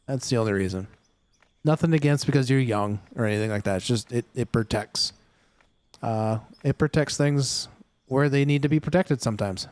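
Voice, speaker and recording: A male streamer chatting loosely with his viewers while he plays, circling back on his sentences and filling gaps with ums. His voice sits at a middle pitch and sounds dry and close, with no room echo. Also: normal speed, a little mellow. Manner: rambling, many fillers and restarts; chatty, talks straight to chat viewers. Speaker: livestream gaming host. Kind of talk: casual livestream chat.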